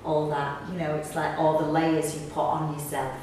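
A woman's voice: sits low, level tone, moderate pace, a little mellow. A middle-aged woman, chatting reflectively in an interview as an actor. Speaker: pantomime actor being interviewed. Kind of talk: casual interview chat. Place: small indoor room on a sofa. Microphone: camera a few metres away. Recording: roomy, distant mic, clear background noise.

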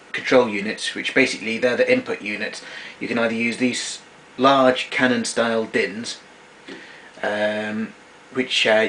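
A man talks through equipment in an informal, matter-of-fact demonstration. His voice sits at an average male pitch. It is an amateur recording from a small room, with clear noise behind him. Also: fairly bright tone, poor audio. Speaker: tape recorder collector giving a demonstration. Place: small domestic room indoors. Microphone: camera mic a short distance away. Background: clear background noise.

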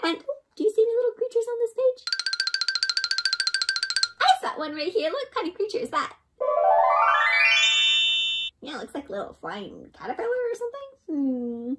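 A young woman with a high, bright-eyed storytime delivery reads a picture book to children. Her pitch swings widely and expressively. She keeps pausing to put questions to her young listeners. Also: music in the background. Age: young adult. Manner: animated and expressive, often asking the listener questions. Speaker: host reading a picture book aloud to children. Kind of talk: interactive children's read-aloud.

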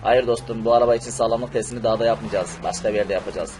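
Guy doing a cheeky pushy superhero for a cartoon, talks fast, slight background noise. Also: on the low side, fairly even intonation, lightly acted. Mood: friendly. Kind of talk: amateur cartoon-style game video dub.

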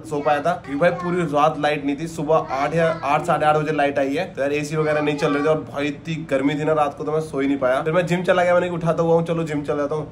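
Fast-talking, higher-pitched young man. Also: a little hiss or hum. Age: 20s. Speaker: vlogger. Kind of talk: casual vlog chat. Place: home rooms and a gym, indoors. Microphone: phone at arm's length.

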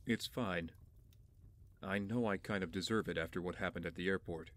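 A man playing an aloof, wry young billionaire in an audio drama, speaking in a dry, measured, haughty way. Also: sits low, a bit bright. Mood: wry, composed.

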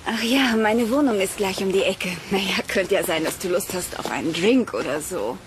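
A woman's voice: medium pitch, lively intonation, normal speed, noisy background, lightly acted. An actress playing a cool, brash, callous woman in a sci-fi audio drama, blunt and self-assured. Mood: flirtatious.

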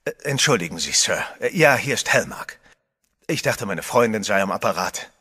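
A man's voice: fairly low pitch, sing-song, normal speed, pushed and bright, breathy edge, full character voice. An actor playing a composed adventurer who narrates his own story in a horror radio play. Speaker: first-person narrator. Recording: music bed under the voice.

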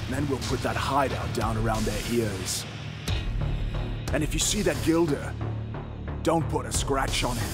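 An actor playing a hot-headed, swaggering gang boss in a game cutscene, rough and belligerent. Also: male voice, mid-range voice, measured pace, long pauses, music in the background, natural voice. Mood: menacing command.

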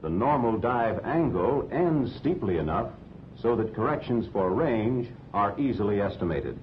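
An American man reading scripted instructional narration for a 1940s training film in a measured, didactic way. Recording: dull 1940s film soundtrack, rumbling noise.

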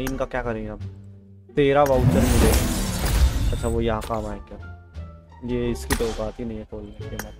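A man doing casual gameplay commentary, chatting to viewers and repeating his phrases. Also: mid-range voice. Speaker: gaming video creator. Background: game music.

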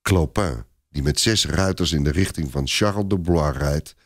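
A man with a very low voice narrates a story dramatically. The recording is dry, with no room sound. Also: moderate pace, dry recording.

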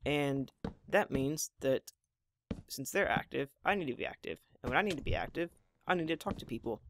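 A hoarse teenage boy in his mid-teens, with occasional voice cracks, chatting casually while playing a building game at home. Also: medium pitch, lively intonation, moderate pace, a bit bright, slight background noise. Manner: rambling, chatty, self-deprecating. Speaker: Minecraft gameplay channel host. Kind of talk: casual gameplay commentary.

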